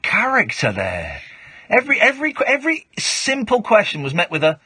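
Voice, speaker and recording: Male late-night radio host, playful, very lively pitch, slightly husky. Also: moderate pace, no room sound. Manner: playful, laughs a lot. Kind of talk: late-night phone-in chat.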